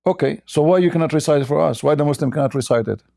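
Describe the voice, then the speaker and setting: A man's voice: a little high, racing, vocal fry, mellow, dry recording, studio quality. A man hosting a live debate, pressing a caller with repeated questions. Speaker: debate host. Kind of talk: live call-in religious debate.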